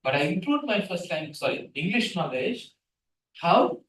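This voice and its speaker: A middle-aged man whose first language is Tamil gives a guest lecture on scientific writing. He is animated and keeps asking the audience questions. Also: sits high, moderate pace. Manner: animated, asks the room questions. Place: seminar room, hybrid session. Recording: echoey room from a distance.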